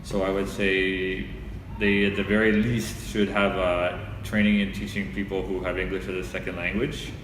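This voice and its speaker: Low, even-voiced man in an echoey room. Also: normal speed. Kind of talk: interview answers. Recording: echoey reverberant room, clear background noise.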